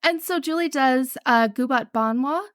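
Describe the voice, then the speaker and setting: A woman's voice: high voice, normal speed, a bit bright, smooth, no echo, studio quality. A young woman in casual podcast chat, rambling and enthusiastic with many fillers and restarts. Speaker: podcast guest, actual-play show organizer.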